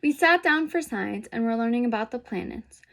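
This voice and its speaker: A woman plays a young boy zebra from a children's storybook, sensitive and misunderstood. The voice is fairly high, with a plaintive, earnest way of telling troubles. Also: animated, normal speed, creaky, a bit bright. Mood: earnest, troubled.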